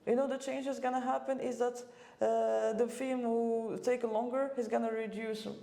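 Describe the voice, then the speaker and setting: Halting woman from Morocco, soft tone. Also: fairly high pitch, little pitch movement, creaky. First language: French. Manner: halting, many "uh" fillers and restarts. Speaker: guest, assistant director. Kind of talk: casual podcast chat. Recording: roomy room echo.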